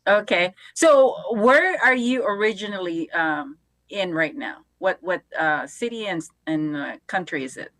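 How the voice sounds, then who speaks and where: A woman's voice: lowish voice, lively intonation, fairly bright tone, no room sound. Curious woman host, dry close sound. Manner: curious, halting questions with many "uh". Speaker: show host. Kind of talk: interview questions.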